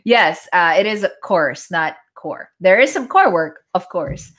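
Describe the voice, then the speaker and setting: A woman's voice: lowish voice, expressive, a little slow, fairly bright tone, slightly rough. A woman in her forties with a Filipino accent, a native Tagalog speaker, chatting casually in a live stream at home and answering viewer comments as a yoga instructor. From Philippines (Manila). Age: 40s.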